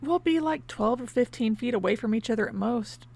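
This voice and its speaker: A woman playing a composed, practical academic investigator in an audio drama, measured and matter-of-fact. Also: fairly high pitch, expressive, vocal fry, darkish tone, no room sound. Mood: brisk, practical.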